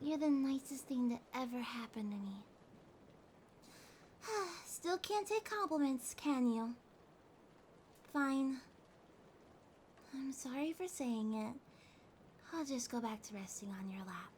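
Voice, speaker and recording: A woman voicing a needy, haughty but affectionate young cat girl in a soft, drowsy, pouty ASMR roleplay. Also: high voice, takes it slowly, pauses often, a little hiss or hum. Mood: tender, teasing. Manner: pouty, teasing, drowsy, speaking softly to a partner. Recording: steady rain sounds behind voice. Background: rain ambience.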